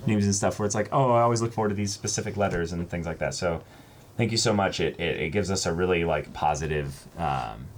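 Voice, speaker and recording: A man chatting casually and reading letters aloud as co-host of an unboxing, halting when he ad-libs and expressive when he reads. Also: low voice. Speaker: co-host of a reaction channel. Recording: faint background noise.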